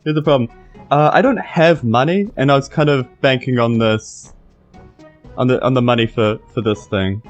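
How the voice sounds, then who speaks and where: A man's voice: medium pitch, moderate pace, dull audio, soft background music. A man with a New Zealand accent, a tabletop game player bargaining casually in character.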